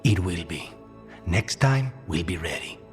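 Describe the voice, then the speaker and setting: An actor playing a grave, proud warrior companion in a video game, earnest and deliberate. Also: male voice, low voice, animated. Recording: faint music behind.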